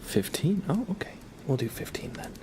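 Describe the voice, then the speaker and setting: A soft-spoken man whispering gently and politely in a casual ASMR livestream, chatting with viewers and reading cards. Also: a little high, big pitch swings, normal speed.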